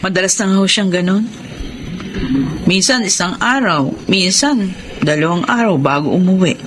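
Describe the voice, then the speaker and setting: A woman playing a gentle, long-suffering mother in a radio drama, with a patient, pleading manner. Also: on the low side, normal speed, noisy background, close to an everyday voice. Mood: resigned.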